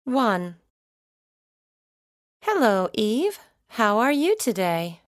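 A woman reading numbered items for a recorded English listening exercise, slowly and carefully enunciated. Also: a little high, sing-song, no echo, studio quality. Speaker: recorded listening test reader.

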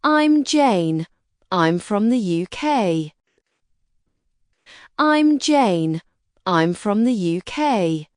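A woman reading lines and repeating words for an English textbook, slowly and carefully. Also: mid-range voice, very animated, no echo, clean studio sound. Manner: slow, careful pronunciation for learners. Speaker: textbook dialogue reader. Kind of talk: scripted textbook dialogue.

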